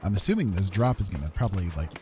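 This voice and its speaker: Low-voiced man streaming a game. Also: very animated, rapid-fire, dark tone, a little hiss or hum. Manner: chatty, thinking aloud. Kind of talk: casual live gameplay commentary.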